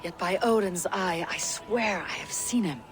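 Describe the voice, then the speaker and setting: A woman playing an earnest, questioning young Viking warrior in a video game, husky and sometimes breathy. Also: medium pitch, a little slow, lightly acted. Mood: troubled. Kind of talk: dramatic video game acting. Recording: noisy ambient background.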